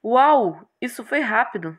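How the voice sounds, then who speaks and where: A woman's voice: fairly high pitch, animated, unhurried. A woman voicing a brash, irritable young male hero in a game, exclamatory and sarcastic. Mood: incredulous.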